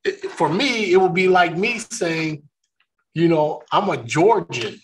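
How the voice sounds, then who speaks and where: A man's voice: fairly high pitch, expressive, slight background noise. A man from California, hosting a faith discussion, talking earnestly with many restarts. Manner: slow, earnest, with frequent restarts. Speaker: ministry discussion host.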